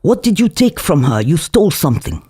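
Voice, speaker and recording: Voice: mid-range voice, very animated, fairly quick, dark tone, breathy edge. A narrator voicing an angry, suspicious café chef in a novel reading. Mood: angry, accusing.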